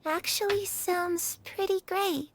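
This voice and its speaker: A gentle, dreamy shadow girl. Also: very high-pitched, steady tone, slow pace, slight background noise, exaggerated voice. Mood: wistful. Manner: soft, earnest, dreamy. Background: game music.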